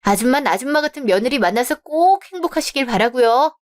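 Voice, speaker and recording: A composed young woman who stays polite but will not back down, read by a woman in a radio drama. Her voice sits fairly high and her reasoning comes out steady. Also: brisk. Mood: firm.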